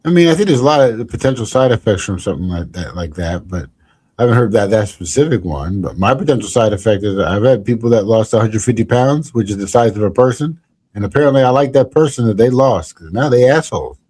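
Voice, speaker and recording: A man's voice: fairly low pitch, expressive, quick pace, dark tone, husky. A man hosting a comedy podcast, chatting casually in a rambling, joking way. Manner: rambling, jokey, restarts a lot. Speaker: podcast host.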